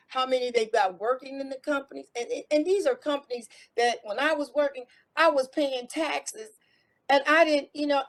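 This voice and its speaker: A middle-aged woman from America with a slightly high voice, talking through her points in a loose, casual way. She stumbles into repeated words and restarts, then pushes on. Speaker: guest, former IT contractor. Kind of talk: casual interview talk.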